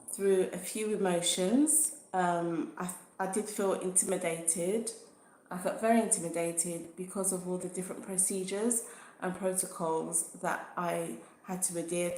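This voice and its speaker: A woman's voice: lowish voice, a little mellow. Earnest woman vlogger, echoey room. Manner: earnest, reflective, unhurried. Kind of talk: personal experience vlog.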